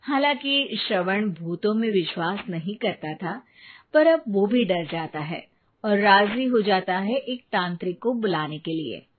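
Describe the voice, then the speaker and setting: A woman's voice: mid-range voice, animated, a little slow. A woman narrating a scripted story as a storyteller, on a tinny recording. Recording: tinny phone-like sound with faint noise.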